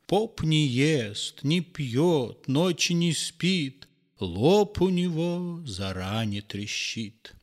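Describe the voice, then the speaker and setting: Slow, highly animated male storyteller. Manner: theatrical, measured storytelling. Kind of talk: expressive scripted verse fairy tale narration.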